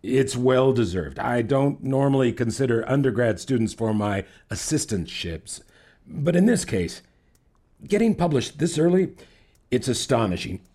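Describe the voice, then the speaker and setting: An actor playing a middle-aged psychology professor in an audio drama, measured and patronizing, flattering with deliberate pauses. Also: male voice, medium pitch. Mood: admiring, flattering. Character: smooth, flattering, condescending manipulative male psychology professor. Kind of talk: fiction podcast audio drama acting.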